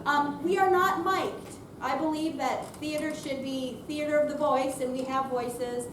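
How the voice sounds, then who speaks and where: A woman presenter speaking loudly and chattily off the cuff to a live audience, introducing a theater program. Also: sits high, steady tone, measured pace. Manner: loud, projecting, chatty. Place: senior center room, unamplified, before an audience. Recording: distant mic, echoey room, noisy.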